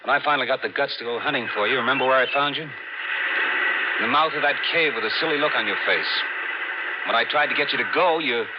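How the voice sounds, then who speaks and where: An actor playing a breezy, wisecracking diving buddy who is wry and wary, in an old-time radio drama. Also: male voice, medium pitch, quick pace, natural voice. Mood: wry, warning. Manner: jaunty, colloquial, wry. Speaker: supporting actor. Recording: muffled noisy old radio recording.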